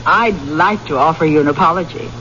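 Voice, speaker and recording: An actress playing a coy, bold middle-aged widow who flirts and teases, in a 1970s radio drama. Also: female voice, deep voice, fairly quick, a bit bright. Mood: coy, apologetic. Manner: coy, teasing, lingering. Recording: muffled, noisy vintage radio recording.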